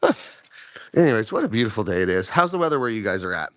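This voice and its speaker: Hoarse, chatty man on a morning walk. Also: lowish voice, sing-song, fast talker, a bit bright, dry recording, studio quality. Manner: rambling, chatty, filler-heavy, asks viewers questions. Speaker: vlogger. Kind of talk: casual talk to camera on a walk. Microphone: phone held while walking.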